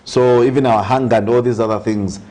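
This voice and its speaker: A man's voice: mid-range voice, steady tone, a little fast, warm tone. A man with a Zambian accent, a priest speaking as an interview guest, giving earnest social commentary with emphatic repetition and touches of preaching.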